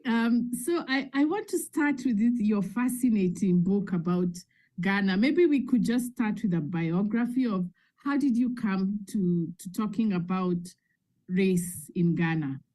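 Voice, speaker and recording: Female interview host, warm and mellow, formal and courteous. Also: medium pitch, lively intonation. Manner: polite, measured introductions. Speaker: radio show host.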